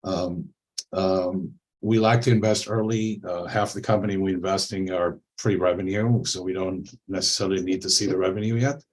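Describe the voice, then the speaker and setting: A man from Israel speaking as a panel guest over a video call, relaxed and conversational with many fillers. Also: low voice, normal speed, slight background noise, rough recording. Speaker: panel guest, angel investor.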